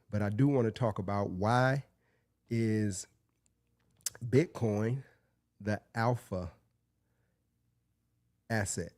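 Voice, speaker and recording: A man hosts his own podcast while under the weather, sounding a bit sniffly and congested. His voice sits fairly low and mellow, recorded dry and close. He talks loosely, circling back and restarting phrases as he goes. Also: no room sound. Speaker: podcast host. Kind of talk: casual solo podcast talk.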